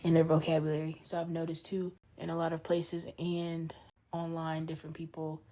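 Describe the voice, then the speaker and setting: A woman from North Carolina who co-hosts a relaxed chat show, moving from reading news items into easygoing talk. Her voice sits a little low and has a warm, mellow tone. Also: normal speed. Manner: chatty, reads news items then riffs on them. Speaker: podcast co-host.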